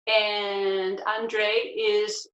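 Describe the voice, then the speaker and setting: An American woman in her forties hosting a casual video-call interview, asking animated, chatty questions. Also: mid-range voice, very slow. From United States. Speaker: host. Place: home room with bookshelf. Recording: echoey, dull video-call audio.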